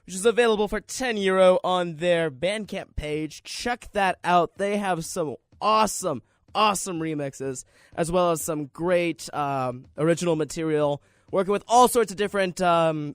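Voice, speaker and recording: A man's voice: sits high, unhurried, no echo. A man hosting a radio show with casual, rambling, slangy chat.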